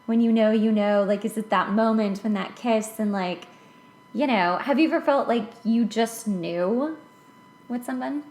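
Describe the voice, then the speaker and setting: A woman's voice: a little high, measured pace, darkish tone. Female podcast host, casual and chatty, roomy sound with some background noise. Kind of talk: casual conversational discussion.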